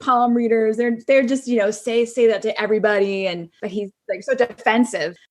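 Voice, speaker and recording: A woman guest on a podcast, an actress, chatting casually in an informal, halting way with lots of filler likes. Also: fairly high pitch, fairly even intonation. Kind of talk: casual chat.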